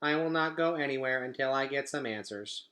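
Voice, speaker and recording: A man's voice: medium pitch, a little fast, sharp tone, close to an everyday voice. A man voicing a steadfast female robot mother in a children's storybook, earnest and insistent. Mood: questioning. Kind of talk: children's book read-aloud.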